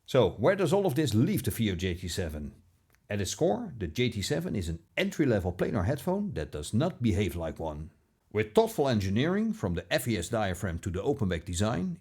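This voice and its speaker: A man narrating a scripted product review as a video host, with a measured, polished presenter delivery. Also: mid-range voice, darkish tone, husky.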